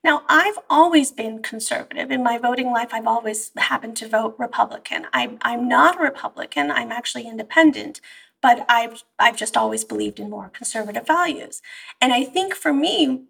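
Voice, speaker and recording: A woman, born in Iran and raised in the US, telling stories in an animated, conversational way as an interview guest. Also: a little high, moderate pace, gravelly, breathy edge, distant mic. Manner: animated storytelling, quoting herself and her mother. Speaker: therapist guest.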